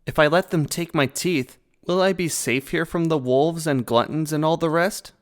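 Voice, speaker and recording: A man's voice: fairly high pitch, normal speed, lightly acted. A man voicing a youthful, curious pine marten in an animal fantasy drama, speaking earnestly with some impatience. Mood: worried.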